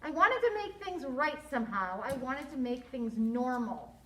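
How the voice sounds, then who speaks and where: A woman's voice: high-pitched, sing-song, normal speed, far from the mic. A woman voicing a loving, distraught and apologetic mother in a children's storybook, earnest and emotional. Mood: confessing. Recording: echoey room, audible background noise.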